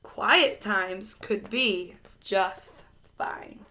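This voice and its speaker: A woman narrating a children's storybook aloud in an expressive read-aloud style. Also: mid-range voice, drawn-out words, a little hiss or hum. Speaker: storybook reader.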